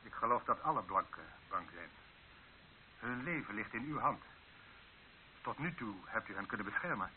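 Low, formal actor as a worried father, muffled recording. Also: expressive, normal speed, long pauses, natural voice. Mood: worried, deferential. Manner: formal, restrained, curt. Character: worried, dignified middle-aged father of a grown daughter. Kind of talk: radio drama dialogue. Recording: dull narrow-band old radio recording with hiss.

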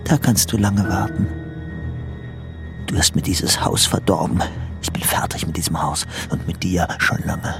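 Low-voiced obsessed man muttering to himself. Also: fairly even intonation, moderate pace, gravelly, over music. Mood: furious, contemptuous. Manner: murmuring to himself, often half-whispered. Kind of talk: radio drama inner monologue.

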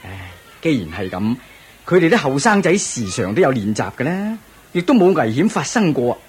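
A man's voice: mid-range voice, very animated, normal speed, faint music behind, natural voice. A man with a Hong Kong Cantonese accent playing a proud, doting ancient king in a radio drama, speaking in a theatrical, regal way. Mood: questioning, uneasy. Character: proud, doting ancient Indian king, anxious about his heir.

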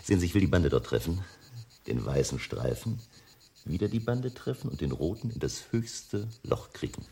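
An actor playing a suave, ironic, urbane hotel guest with a mocking manner in a radio drama. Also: male voice, deep voice, lively intonation, moderate pace, dark tone, a little hiss or hum. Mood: amused, ironic. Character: suave, mocking male hotel guest, leisurely billiard player. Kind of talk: German radio drama acting.

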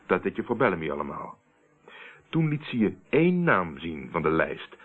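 An actor playing a steady, probing man who confronts a murderer in a radio play, speaking in a deliberate, controlled, accusing way. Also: deep voice. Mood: hard, confident. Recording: tinny, narrow-band old radio recording.

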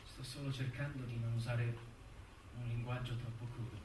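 An actor playing an insistent, insinuating lawyer in a theatrical cross-examination, pointed, formal and sardonic. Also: male voice, high voice, big pitch swings, normal speed. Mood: hushed, insistent. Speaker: stage actor. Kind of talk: theatrical courtroom interrogation. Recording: roomy stage sound, distant mic, faint noise.

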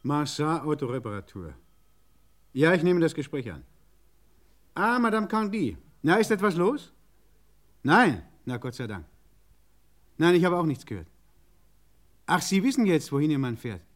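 An actor in a German radio crime drama playing a practical, helpful garage mechanic who talks in a halting, reassuring, matter-of-fact way. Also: male voice, on the high side, big pitch swings. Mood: helpful, eager.

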